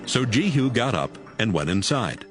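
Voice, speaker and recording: A man's voice: mid-range voice, big pitch swings, normal speed. A man reading scripted narration for a dramatized audio Bible. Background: music.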